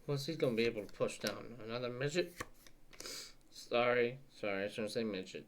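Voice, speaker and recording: A man's voice: mid-range voice, brisk, slight background noise. A young man with a stuffy, sniffly voice, rambling and swearing casually while opening card packs for his channel. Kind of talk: casual unboxing vlog chat.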